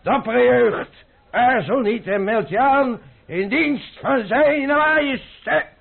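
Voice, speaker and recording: A drunken cavalry sergeant, a veteran full of boasts and gloom. His speech is slow and gravelly, rambling and halting. It comes from a muffled old radio play recording. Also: extremely high pitch, exaggerated voice. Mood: drunken, declaiming. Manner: halting drunken rambling, breaking into recruiting cries.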